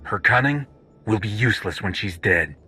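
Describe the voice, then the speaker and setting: A man's voice: fairly low pitch, expressive, moderate pace, sharp tone, husky, soft background music, full character voice. A man playing a roguish, defiant starship captain with a grudge in a video game cutscene. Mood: grim. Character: roguish male commander and ship captain, vengeful, defiant.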